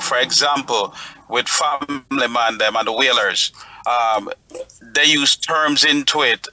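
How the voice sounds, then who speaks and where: Male panelist, piercingly bright and emphatic, argues his points over a slightly noisy radio-like line. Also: mid-range voice. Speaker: talk show panelist. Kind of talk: opinionated explanatory panel talk.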